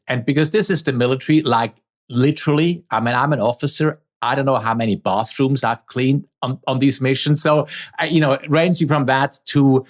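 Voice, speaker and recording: A middle-aged man from Switzerland, a native Swiss German speaker with a Swiss German accent, giving conversational answers as an interview guest. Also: mid-range voice.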